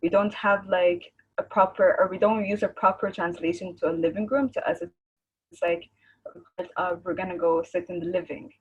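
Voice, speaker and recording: Low husky woman on a call. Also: normal speed, muffled recording. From Egypt. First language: Arabic. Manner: rambling, searching for words, laughs easily. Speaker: guest artist. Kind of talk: casual video call chat. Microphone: video call.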